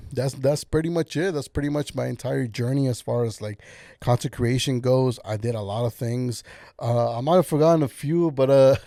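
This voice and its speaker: A man's voice: mid-range voice, mellow, dry recording, clean studio sound. A young man hosting his own podcast, talking alone in a casual, encouraging way that rambles with lots of filler words. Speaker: podcast host. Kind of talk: casual solo podcast monologue.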